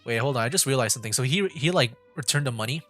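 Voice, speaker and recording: A man's voice: medium pitch, lively intonation, rapid-fire, a bit bright, faint music behind. A young man streaming a game from home, giving loose, rambling commentary full of "like" and restarts. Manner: rambling, heavy use of "like", restarts, laughs. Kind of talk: casual gameplay commentary.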